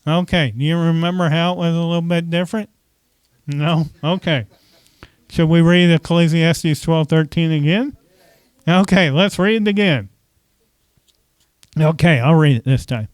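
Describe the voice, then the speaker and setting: A man's voice: fairly high pitch, a little slow, pauses often, no room sound. A man preaching a conversational sermon as pastor, often asking the congregation questions and saying "okay" between points.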